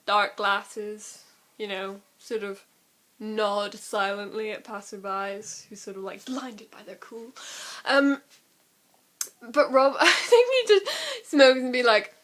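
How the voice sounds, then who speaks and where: A woman's voice: medium pitch, slow pace, fairly bright tone. A young woman chatting casually and ramblingly to camera as an amateur vlogger, with a giggly, self-deprecating, informal manner.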